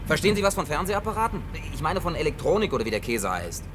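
An actor dubbing an awkward, gruff man wandering alone after the end of the world, muttering curses. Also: on the high side, big pitch swings, rapid-fire, over music. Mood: bewildered. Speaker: Jim, survivor on foot. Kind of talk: German film dub.

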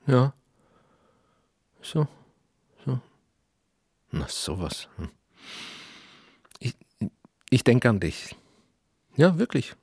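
An actor playing a laconic, reserved writer, an outsider in a small town, in naturalistic drama dialogue. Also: male voice, fairly low pitch, slightly rough, dry recording, clean studio sound, full character voice. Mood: hesitant, musing. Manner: laconic, wry, short hesitant sentences.

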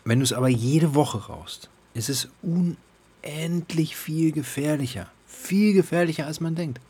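A man playing an irritable, defensive father and recovering addict in a naturalistic radio play, with a halting, lecturing manner that can flare up. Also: on the high side, darkish tone, husky. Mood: subdued, uneasy. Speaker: radio drama actor.

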